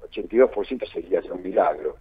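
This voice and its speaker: Deep-voiced Argentine man on phone-like line. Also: animated, quick pace, breathy edge. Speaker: political analyst being interviewed. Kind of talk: radio interview analysis. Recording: narrow phone-like line, muffled.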